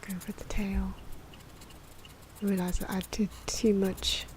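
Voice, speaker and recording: A soft-spoken, sometimes whispery woman giving unscripted, musing ASMR commentary as she draws, correcting herself as she goes. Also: mid-range voice, little pitch movement, takes it slowly, background noise. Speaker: ASMR artist narrating own drawing. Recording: clock and pen noises behind. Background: ticking clock, pen scratching.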